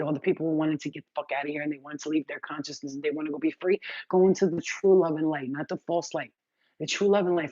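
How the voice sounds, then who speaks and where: A woman hosts a spiritual livestream with fervent, blunt talk and free swearing. Her voice sits on the low side. The sound is dry and a bit dull and muffled. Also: dark tone, no echo. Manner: addresses viewers directly, reads their comments aloud. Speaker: spiritual healer livestream host.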